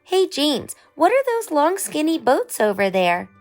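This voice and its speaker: A woman plays an excitable young storybook girl, pitched very high. She is curious and wide-eyed, exclaiming at what she sees. The pace is unhurried, over light music. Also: heavily acted. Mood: amazed. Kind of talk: children's storybook character voice.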